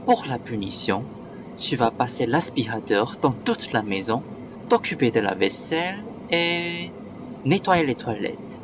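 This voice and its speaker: A man's voice: medium pitch, lively intonation, a little slow, background noise, natural voice. A man playing a harsh, bullying head of household who scolds and gives orders in a student radio play. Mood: scolding, stern. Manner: scolding, domineering.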